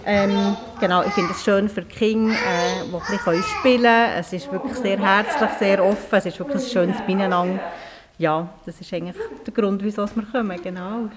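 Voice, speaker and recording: A woman speaking fluently and warmly about a community group. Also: medium pitch, brisk, over a noisy crowd. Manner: friendly, fluent. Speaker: interviewee. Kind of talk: casual interview answers.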